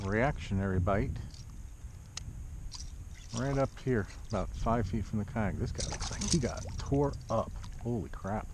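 A middle-aged man giving casual running commentary while kayak fishing, talking in short, plain-spoken phrases. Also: on the low side. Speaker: kayak angler and video host. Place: outdoors in a kayak on a lake. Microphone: action camera mounted on body or kayak. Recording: dull muffled action-camera audio, loud outdoor noise.

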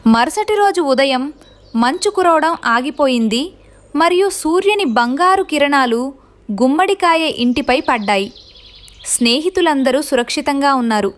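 A woman's voice: high-pitched, lively intonation, a little slow. A woman reading a scripted children's story as narrator.

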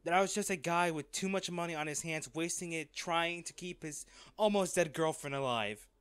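A man playing a wealthy, devoted, wounded lover in an intimate, halting audio drama. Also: fairly high pitch, fairly bright tone, clean tone, dry recording, natural voice. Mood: frustrated, earnest. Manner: slow, halting, emotional delivery. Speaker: voice actor in an audio roleplay.

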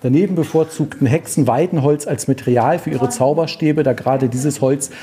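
A man's voice: a little high, a little fast, warm tone. A man working as a podcast reporter on location indoors, talking and asking questions. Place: indoors in a museum.

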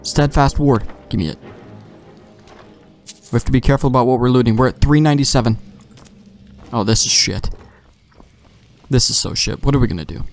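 A man's voice: medium pitch, a little fast, pauses often. A man giving casual, rambling gameplay commentary, thinking aloud with some mild swearing. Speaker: let's play host. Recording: game music faintly behind. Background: faint game music.

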